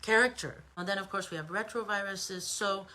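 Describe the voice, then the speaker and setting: A woman's voice: medium pitch, fairly quick, bright, forceful tone, rough recording. An American woman giving an informal opinion monologue as an online commentator, emphatic and conversational, with rhetorical asides.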